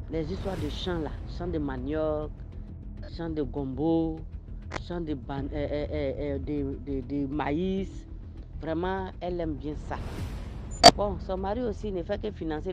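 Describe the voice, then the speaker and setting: An Ivorian man speaks in a fairly high voice, slowly recounting a strange story and circling back over his phrases for the listeners. He recorded it as a phone voice note, so it sounds dull and muffled. Loud music plays underneath the whole time. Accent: Ivorian French. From Ivory Coast. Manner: slow, repetitive storytelling addressed to listeners. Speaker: caller sharing a story. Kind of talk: personal mystical story told in a voice message.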